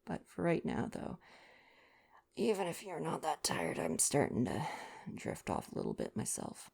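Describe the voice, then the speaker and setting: A man reads softly in a bedtime ASMR style. His delivery is gentle and intimate, with lively, expressive intonation and some gravelly fry in the voice. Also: on the high side, a little slow. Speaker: ASMR roleplay performer reading aloud.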